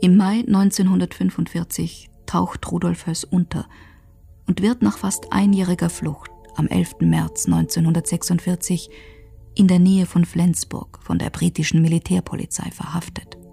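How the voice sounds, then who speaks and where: A woman giving sober, factual, measured scripted narration for a historical radio documentary. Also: fairly low pitch, little pitch movement, vocal fry, dark tone, soft background music.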